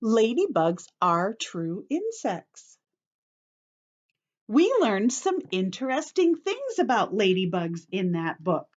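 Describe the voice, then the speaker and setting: A woman reads and explains to young children as a story-time teacher. Her pace is slow and deliberate, and her pitch moves in big animated swings. She keeps her phrases short and simple, stressing key words. The recording sounds slightly dull and lo-fi. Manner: simple short phrases, addressing children directly, careful emphasis. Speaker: children's teacher reading a picture book.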